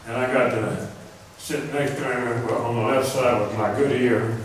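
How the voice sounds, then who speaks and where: A soft-spoken man giving a rambling religious talk to an audience, often clearing his throat. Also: medium pitch, fairly even intonation, takes it slowly, slight background noise. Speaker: religious speaker. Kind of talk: informal lecture to an audience. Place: meeting hall before a live audience. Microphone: microphone through a speaker system. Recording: echoey hall, heard from far off.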